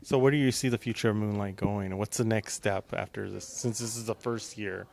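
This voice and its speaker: A male host with a lowish voice conducts a casual promotional interview. He is complimentary and encouraging as he puts his questions. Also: steady tone, brisk, gravelly, a little mellow.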